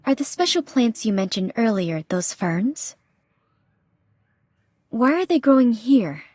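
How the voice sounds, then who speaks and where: A woman's voice: a little high, big pitch swings, takes it slowly, darkish tone, no room sound, full character voice. A woman playing a polite, observant female reporter in video game cutscene dialogue. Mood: polite, inquisitive. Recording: music behind the voice.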